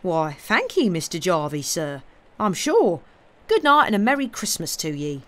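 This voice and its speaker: A woman's voice: fairly high pitch, lively intonation, moderate pace, a bit bright, pitched above the performer's own voice. A woman voicing a polite, cheery serving man in a storybook, deferential and lilting. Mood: grateful, cheery.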